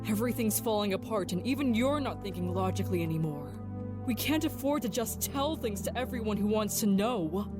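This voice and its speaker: Casting: woman as hot-tempered female agent, curt, stern, scolding. Mid-range voice, audio drama, music bed clearly present. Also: mid-range voice, lively intonation, brisk, natural voice. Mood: exasperated.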